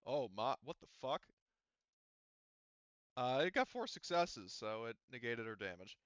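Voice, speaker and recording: A man acting as game master for a tabletop roleplaying game, talking casually and haltingly as he works through rules and narrates combat. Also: medium pitch, very animated, fairly bright tone, clear, resonant tone, no echo, dull audio. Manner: casual, halting delivery while working out rules.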